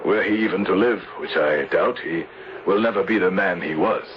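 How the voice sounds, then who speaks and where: An actor playing an earnest, just English gentleman in a radio mystery, speaking in a measured, formal way. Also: sits low, close to an everyday voice. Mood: guarded, terse. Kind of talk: 1970s radio mystery acting with first-person narration. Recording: dull, noisy old radio broadcast.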